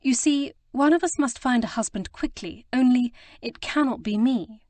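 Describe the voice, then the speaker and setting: A woman voicing a flustered, earnest young gentlewoman who stammers and rushes to explain herself. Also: a little high, no room sound, voice pushed up, close to an everyday voice. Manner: breathless, stammering, eager to explain. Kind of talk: audiobook character reading.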